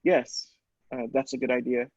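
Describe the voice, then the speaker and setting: A man's voice: mid-range voice, monotone, moderate pace, a little mellow, no echo, lo-fi sound, lightly acted. A man playing an earnest, shaken doctor in a radio play, agreeable and hesitant, with dramatic stammers. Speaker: podcast actor.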